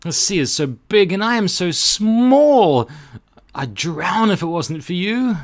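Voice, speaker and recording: A fragile little storybook butterfly voiced by a man pleads in a high voice. It sounds small, frightened and plaintive, with swooping pitch. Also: big pitch swings, takes it slowly, exaggerated voice. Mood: distressed.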